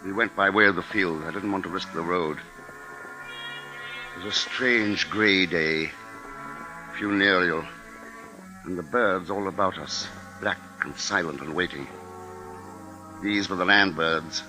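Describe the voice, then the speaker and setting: An actor playing a grave husband and father in a 1950s radio drama, speaking in a measured, deliberate way. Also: sits low, sharp tone, exaggerated voice, poor audio. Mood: grave, foreboding. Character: grim, capable husband and father narrating a siege. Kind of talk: 1950s radio drama acting and first-person narration. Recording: 1950s radio recording with music underscore.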